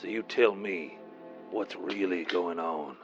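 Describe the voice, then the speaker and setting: Man acting grim, very deep-voiced colonel. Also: slow. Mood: suspicious, grave. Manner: terse, suspicious, ominous. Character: grim, suspicious military colonel. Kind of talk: comedic radio play acting. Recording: muffled audio with music behind.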